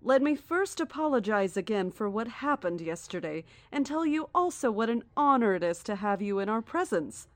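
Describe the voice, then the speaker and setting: A woman playing a serene, menacing cult leader in an audio drama, formal, measured and condescending. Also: on the high side, very animated, no echo. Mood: courteous, ominous.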